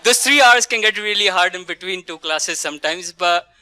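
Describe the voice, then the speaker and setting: Young male student panelist, high-pitched and crisp, giving a casual testimonial. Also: moderate pace, pushed and bright. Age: young adult. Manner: chuckles while talking.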